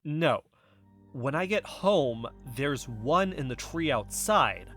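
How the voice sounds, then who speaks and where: A man's voice: medium pitch, expressive, takes it slowly, a bit bright, soft background music. A man playing a paranoid, agitated investigative podcaster in an audio drama, speaking with urgent insistence. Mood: intense, paranoid. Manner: urgent, insistent, dwelling on suspicions. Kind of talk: audio fiction podcast dialogue.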